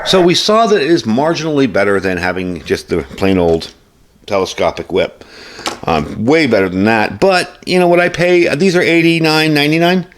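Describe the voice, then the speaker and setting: A man's voice: mid-range voice, expressive, moderate pace, slight background noise. A man giving a casual, unscripted product review in a conversational, plain-spoken way, as the host of a hobby channel. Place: indoor workshop at a desk.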